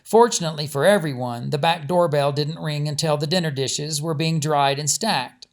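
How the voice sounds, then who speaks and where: A man's voice: fairly high pitch, moderate pace. A man, an author reading his own storybook and chatting casually around it. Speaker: author and narrator. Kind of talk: storybook narration and casual chat.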